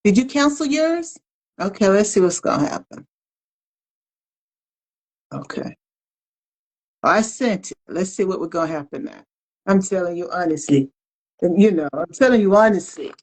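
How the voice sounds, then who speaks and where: A woman's voice: medium pitch, lively intonation, normal speed, long pauses, darkish tone. A woman co-hosting a casual video-call chat, playfully teasing and restarting her words. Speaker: co-host.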